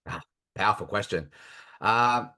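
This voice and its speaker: A man in his thirties with an Australian accent, talking earnestly as a podcast guest, halting often with fillers. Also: on the low side, pushed and bright. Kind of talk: casual interview chat.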